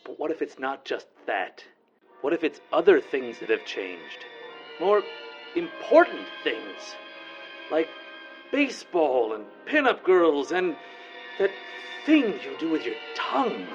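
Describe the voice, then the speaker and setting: Casting: male actor as paranoid, exasperated astronaut for radio comedy, highish voice, big melodramatic swings. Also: big pitch swings, slow. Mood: uneasy, puzzled. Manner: indignant, melodramatic outbursts. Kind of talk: comedic radio play acting. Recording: muffled audio with music behind.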